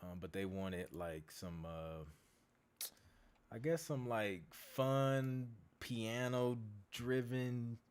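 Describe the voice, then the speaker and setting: A man who is losing his voice, hoarse and clearing his throat, hosting a casual live Q&A as a music producer and chatting in a laid-back, slangy way. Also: medium pitch, extremely slow. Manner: laid-back, slangy, reads out viewer comments. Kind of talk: casual livestream chat.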